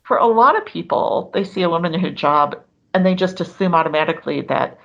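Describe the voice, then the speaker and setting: A woman's voice: fairly low pitch, lively intonation, quick pace, vocal fry, highs cut off. A woman reporter telling what she learned from her interviewees in an earnest, emphatic way. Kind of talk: conversational reporting.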